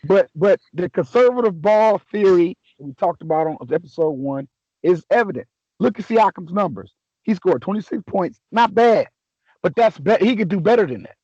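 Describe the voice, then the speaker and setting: A man hosting a casual sports chat, a little raspy from a sore throat, talking in a laid-back, joking way with many restarts. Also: high voice, animated, moderate pace, a little mellow, no room sound, lo-fi sound. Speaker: podcast host.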